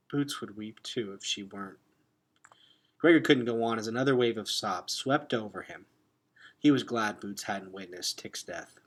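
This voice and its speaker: Male storybook reader, lowish husky voice, dramatic and expressive delivery. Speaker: reader of a children's novel aloud. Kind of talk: expressive storybook read-aloud.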